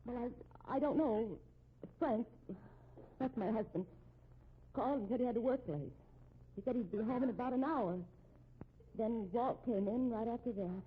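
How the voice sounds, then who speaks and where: An actress playing a shaken, anxious married woman who speaks haltingly in a 1950s radio drama. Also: on the high side, slight background noise, close to an everyday voice. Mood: distressed. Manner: halting, stammering delivery. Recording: tinny 1950s radio recording.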